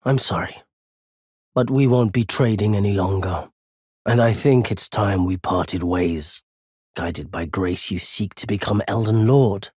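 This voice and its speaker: An actor voicing a solitary, world-weary wandering merchant in a fantasy video game, with a husky, raspy voice and measured, formal phrasing. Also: male voice, lowish voice, darkish tone, no echo, studio quality. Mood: resigned, cold. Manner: slow, measured, formal old-fashioned phrasing. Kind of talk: dramatic fantasy game voice acting.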